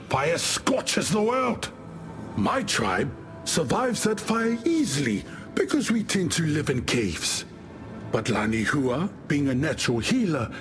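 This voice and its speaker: Easygoing warrior chieftain, video game character, very animated intonation, slightly high male pitch, wry storyteller, music behind. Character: easygoing warrior chieftain of an island tribe, dead in afterlife.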